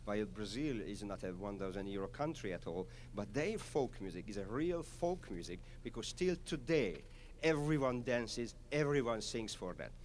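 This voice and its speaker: A man from Hungary with a Hungarian accent, a conductor introducing a piece to a concert audience, halting but enthusiastic with frequent fillers. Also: fairly low pitch, very animated, a little hiss or hum. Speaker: orchestra conductor.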